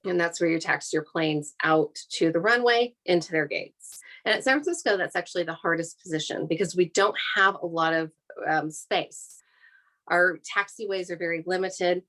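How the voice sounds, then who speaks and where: Lower-pitched American woman explaining her job. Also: normal speed, a bit bright. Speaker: air traffic controller giving a career talk. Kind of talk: informal explanatory talk to an audience.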